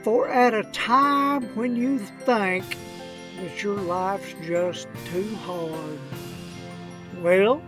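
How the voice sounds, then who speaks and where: Woman playing a slow-talking redneck old-timer. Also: mid-range voice, music in the background. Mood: smug, wry. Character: crusty old redneck man, gun-toting, wryly unrepentant storyteller. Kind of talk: comedic rhymed storybook narration.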